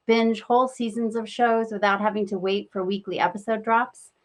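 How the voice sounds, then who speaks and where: Woman exec reading out a promo announcement, medium pitch voice. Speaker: company executive presenter.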